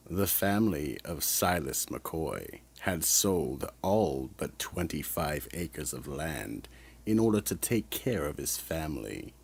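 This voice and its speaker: A man narrating a radio mystery drama in a deliberate, ominous storytelling manner. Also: a bass voice, big pitch swings, vocal fry, darkish tone, husky.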